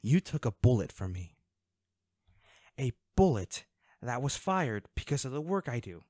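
An actor playing a protective, worried male bodyguard in an intimate, dramatic audio roleplay, with halting, emotional delivery. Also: mid-range voice, very animated, a little mellow, no echo, studio quality. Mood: stern, restrained.

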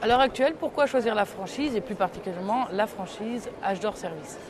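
A woman's voice: medium pitch, animated, brisk, crowd noise. A woman interviewer putting prepared questions to a guest in a broadcast style. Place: trade fair interview stand, indoors. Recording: clearly noisy exhibition hall ambience.

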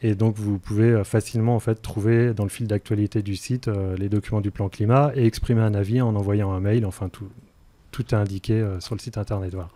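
A man in his forties giving explanatory answers as a radio interview guest, in a halting, hesitant manner with many fillers, close on a studio mic. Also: on the low side, little pitch movement, mellow, dry recording. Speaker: interview guest, energy transition project officer. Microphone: close studio microphone.

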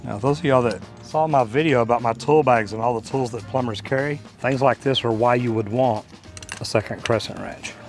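A man's voice: mid-range voice, brisk. A man, explaining a hands-on job in a casual, folksy way as a plumber hosting a tutorial. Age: 50s to 60s. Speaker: plumber and video host. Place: outdoors beside a brick house. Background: music.

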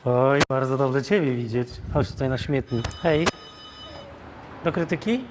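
A man's voice: medium pitch, unhurried, heavy background noise. A man hosting in casual, jokey chat, talking informally with slang as he gets guests set up. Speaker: host fitting guests with lapel microphones. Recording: loud music over the voice.

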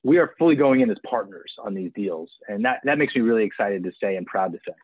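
A man in his forties on a video call, explaining his business in an eager sales pitch with frequent restarts. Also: lively intonation, fast, breathy edge, dry recording. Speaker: auction platform executive. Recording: tinny phone-like video call audio.

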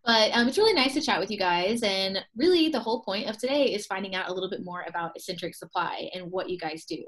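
A woman's voice: mid-range voice, lively intonation, fast, a bit bright. A young woman hosting a casual video call interview, upbeat and chatty, often laughing between thoughts. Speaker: host, expo co-owner.

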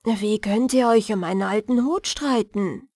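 Woman reading a slow, earnest young hero. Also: mid-range voice, a little mellow, no echo, clean studio sound. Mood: confident. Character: fearless, kind young man seeking a princess.

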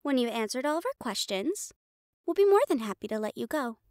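A feisty young huntress as a woman plays her in audio roleplay. Her voice is high and swings widely, with a brash, cheeky, casual delivery, recorded very dry. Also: very animated, fairly quick, darkish tone, no room sound. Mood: cocky. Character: feisty, loyal young female supernatural hunter.

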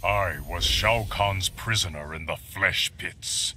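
Slow, proud fantasy warlord. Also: male voice, sits low, bright, forceful tone, exaggerated voice. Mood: stern. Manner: formal, imperious. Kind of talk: video game character acting. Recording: game ambience noise behind the voice.

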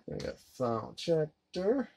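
A man in his thirties giving a relaxed, rambling unboxing commentary while handling discs at his desk. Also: a little high, slow, creaky. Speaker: collector unboxing a disc set. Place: collectibles room indoors. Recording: noisy home recording.

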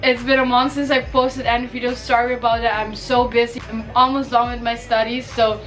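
A woman's voice: fairly high pitch, level tone, brisk, fairly bright tone, soft background music. A young woman from the Caribbean, chatty and upbeat, talking through a shopping haul in a bedroom while she has a cold. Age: young adult. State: has a cold, voice may crack. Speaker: fashion vlogger. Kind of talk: casual shopping haul vlog. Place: bedroom, indoors.

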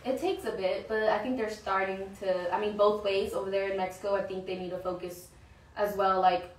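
A woman's voice: mid-range voice, steady tone, brisk, slight background noise. A young woman talking casually as an interview guest, full of fillers and searching for words. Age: young adult. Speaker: guest, pro soccer player. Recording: roomy, distant mic, faint ambience.

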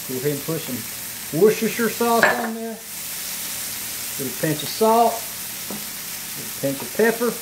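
A folksy middle-aged man with a drawl walks viewers through a recipe in his home kitchen. His voice sits a touch high for a man, and a frying pan sizzles clearly beneath his chatty talk. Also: very noisy. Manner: folksy, chatty, talks while cooking. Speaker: home cooking show host. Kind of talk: casual cooking demonstration.

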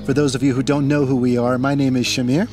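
A man's voice: medium pitch, flat delivery, quick pace. A man in his thirties, born in Seychelles and raised in Kenya, co-founder of a company, talking easily to camera outdoors in a garden. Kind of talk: casual presentation to camera. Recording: music playing behind.

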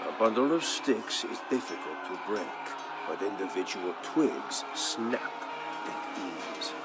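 Grave, theatrical veteran war chronicler. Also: male voice, on the low side, animated, low-quality recording. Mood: musing, wry. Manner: measured, grave, theatrical delivery. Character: thoughtful veteran male war chronicler recounting past campaigns. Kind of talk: dramatic scripted storytelling narration for a game. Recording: music behind the voice. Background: background music.

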